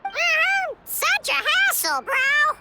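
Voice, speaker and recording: An actor voicing a small, cheeky, excitable talking animal sidekick in a video game. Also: extremely high pitch, extremely slow, big-room sound, heavy background noise, heavily acted. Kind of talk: video game character voice acting.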